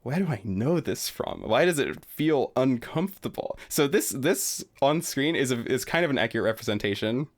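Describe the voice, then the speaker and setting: A young adult man streaming a relaxed, rambling reaction commentary, full of fillers and self-deprecating asides. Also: mid-range voice, moderate pace, hardly any pauses.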